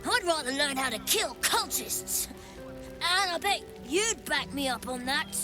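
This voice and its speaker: An actress playing a feisty, bored youngster eager to fight, blunt and cocky. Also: female voice, high-pitched, expressive, unhurried, over music. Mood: defiant.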